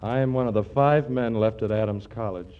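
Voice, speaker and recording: A man's voice: fairly low pitch, fairly quick, narrow, tinny audio, lightly acted. A man playing a meek young college guy. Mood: timid. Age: young adult. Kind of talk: 1940s radio comedy acting. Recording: hissy 1940s radio broadcast.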